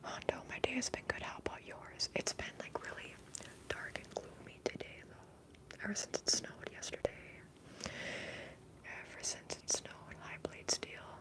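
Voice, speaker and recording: A woman streaming ASMR, whispering very close to the microphone in a breathy voice as she chats softly to viewers and repeats soothing trigger words. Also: a little slow, rough recording. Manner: soft, repetitive trigger words.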